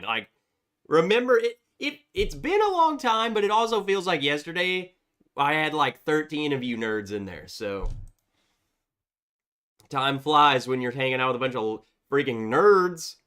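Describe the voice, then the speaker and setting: A man's voice: a little high, sing-song, normal speed, fairly bright tone. A man hosting a casual livestream, reading viewer chats aloud in a chatty, upbeat way, sounding congested from a cold. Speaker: livestream host.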